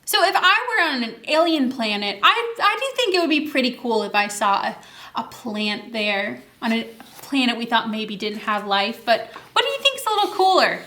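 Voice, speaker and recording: High, bright-voiced woman reading to children. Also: normal speed. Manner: animated, asks the children questions. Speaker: children's librarian, story time host. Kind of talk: picture-book read-aloud for young children.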